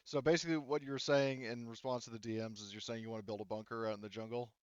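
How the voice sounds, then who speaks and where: A man working as game master of an online tabletop roleplaying game, talking casually and haltingly. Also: mid-range voice, steady tone, clear, resonant tone, no echo, highs cut off.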